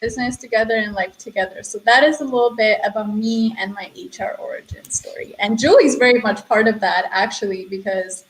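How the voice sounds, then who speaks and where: A woman co-host from Romania chats about her work in an easy, thoughtful tone. Her voice sits a little high. She lets soft laughs slip between sentences. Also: a little fast, hardly any pauses, roomy sound, rough recording. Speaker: co-host, HR consultant. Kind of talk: casual podcast chat.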